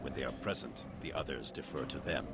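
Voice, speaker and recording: Casting: cold intellectual game robot, extremely low and gravelly, formal delivery, loud score behind. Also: male voice, a little fast, over loud music. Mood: clinical, measured. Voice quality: very deep, gravelly. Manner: formal, clinical, detached. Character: cold, cerebral male robot scientist commander. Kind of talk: video game voice acting.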